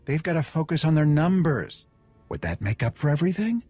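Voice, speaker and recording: Husky, animated smuggler informant. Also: male voice, a little high, brisk, dry recording. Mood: anxious bargaining. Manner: slangy, folksy, rambling. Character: nervous, fast-talking male smuggler informant, chatty and evasive. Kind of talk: video game dialogue acting. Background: music.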